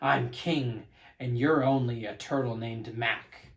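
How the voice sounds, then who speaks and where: A man performs a tyrannical turtle king from a children's storybook. He is boastful and domineering, booming out his lines in a grand, pompous bark at a stately, slow pace. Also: fairly even intonation. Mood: angry, commanding. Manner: pompous, bellowing, barking.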